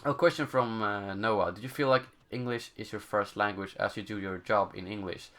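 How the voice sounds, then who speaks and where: Young Swedish-accented male streamer, lowish voice, casual Q&A, stops and restarts while looking for words. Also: steady tone, fairly bright tone. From Sweden. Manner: halting, searching for words, many fillers. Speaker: gaming channel host. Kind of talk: live stream Q&A, casual chat.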